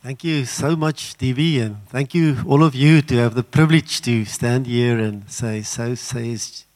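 An older man, an Afrikaans speaker, preaching a sermon in an earnest, halting way with many fillers. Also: medium pitch, darkish tone. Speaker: guest preacher.

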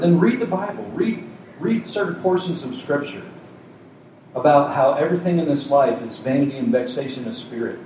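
A man's voice: mid-range voice, a little mellow, very echoey, recorded from a distance, low-quality recording. A man preaching a Sunday sermon as a pastor, with a soothing voice and a conversational, joking manner. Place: community center meeting room with a cooling unit. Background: faint hum of a cooling unit.

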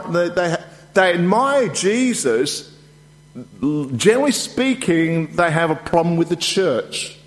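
A male preacher whose first language is Chinese, talking in a chatty, self-deprecating way with fillers and throat clearing. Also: fairly high pitch. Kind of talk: sermon. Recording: long echo of a church hall.

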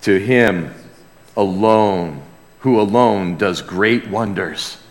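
A man's voice: on the low side, slow pace. A man preaching a sermon and reading scripture aloud with emphatic, reverent delivery. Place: large church hall before a congregation. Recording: reverberant large hall, amplified live sermon.

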